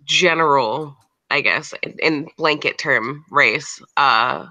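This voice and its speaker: A speaker with a mid-pitched, bright voice, hedging and filling pauses with "like". The sound is dry and close. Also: no echo, poor audio. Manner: hesitant, many "like" fillers. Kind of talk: casual chat.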